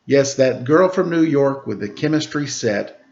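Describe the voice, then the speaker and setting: A man teaching children, reading a rhyming picture book aloud with a steady rhythm, then chatting casually about it. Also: medium pitch, measured pace, lo-fi sound. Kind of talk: storybook read-aloud for children.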